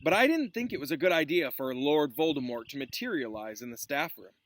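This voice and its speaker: A man reading a storybook as a kindly, thoughtful wizard teacher, in a gentle conversational manner, recorded outdoors. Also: a little high, animated, a little fast, dry recording. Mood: reflective. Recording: clearly noisy outdoor recording.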